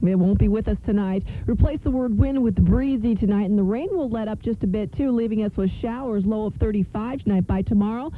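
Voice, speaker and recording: An American woman presents a scripted radio weather forecast in a mid-pitched voice. The broadcast sounds narrow and phone-like, with faint noise in it. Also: a little fast, few pauses. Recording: tinny band-limited radio with faint noise.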